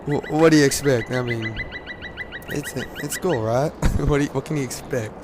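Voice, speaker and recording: A hoarse teenage man from Louisiana, rambling casually with lots of fillers and laughs while commenting on a game at a desk mic. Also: medium pitch, moderate pace, noisy background. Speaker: gaming commentator.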